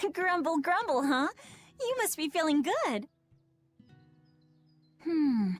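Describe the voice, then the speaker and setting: A teenage big sister in an anime-style fantasy game. She is brisk and motherly, sure of herself. Her voice is high and swings expressively. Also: big pitch swings, faint music behind, full character voice. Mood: teasing, caring.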